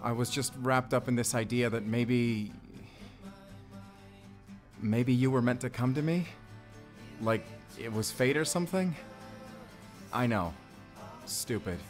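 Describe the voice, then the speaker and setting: A man's voice: fairly low pitch, close to an everyday voice. A man playing a lovestruck, vulnerable divorced father in an intimate audio roleplay, speaking in a hesitant, confessional way. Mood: hurt, hesitant.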